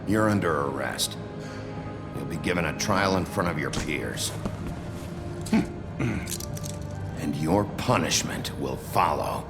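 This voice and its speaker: An actor playing a gruff, hard-bitten sheriff in a video game, blunt, terse and short-tempered. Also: male voice, very deep voice, takes it slowly, long pauses, loud music behind the voice, full character voice. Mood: stern.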